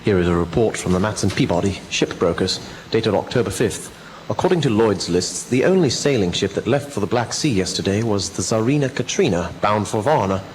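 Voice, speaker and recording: Man playing a sober, low-voiced vampire hunter. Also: lively intonation, long reverb tail, lightly acted. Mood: businesslike. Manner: measured, formal, grave. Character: sober male vampire hunter reporting grim findings. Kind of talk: radio drama narration, formal report. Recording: very noisy radio play recording.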